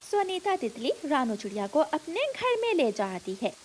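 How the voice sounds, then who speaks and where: High, lively woman storyteller. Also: moderate pace, slight background noise. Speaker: narrator. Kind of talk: scripted children's story narration.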